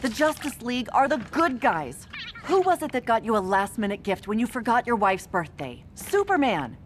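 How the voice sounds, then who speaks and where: A woman's voice: fairly high pitch, sing-song, normal speed, slight background noise. A woman playing a feisty, persuasive newspaper reporter in a video game, insistent and confident. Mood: indignant. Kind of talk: animated video game cutscene acting.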